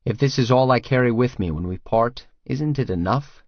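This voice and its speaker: A man's voice: medium pitch, moderate pace, dry recording. A male audiobook narrator with a mid-range voice delivers scripted first-person romance narration. The recording is very dry and a little dull, with muffled top end.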